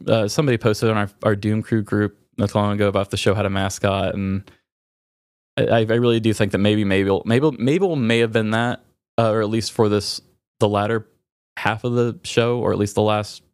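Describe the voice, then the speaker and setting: A man hosting a podcast, talking candidly and reflectively in a halting way, searching for words. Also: lowish voice, fairly even intonation, smooth, dry recording. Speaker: podcast host. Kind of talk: candid, reflective personal conversation.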